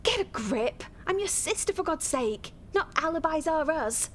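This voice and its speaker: An actress playing an exasperated, protective sister who scolds with sharp sarcasm in a radio soap. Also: female voice, high voice, animated, normal speed, slight background noise. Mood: exasperated, sarcastic. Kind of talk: naturalistic radio soap acting.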